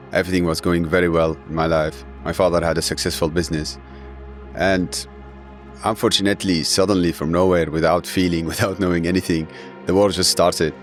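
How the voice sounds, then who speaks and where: A man in his thirties, raised in Syria, speaking English as an in an earnest, halting way with many fillers. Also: low-pitched. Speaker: guest. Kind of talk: emotional interview.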